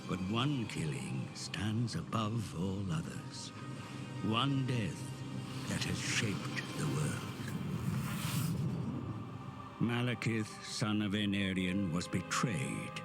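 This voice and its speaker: A male actor playing a grave, ominous storyteller, narrating an epic fantasy game cutscene in a measured, dramatic way. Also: lowish voice, expressive. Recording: loud cinematic music behind the voice. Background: music.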